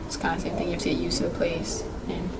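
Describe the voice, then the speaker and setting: A woman's voice: lowish voice, monotone, rapid-fire, recorded from a distance, very noisy. A woman from France speaking matter-of-factly in a documentary interview.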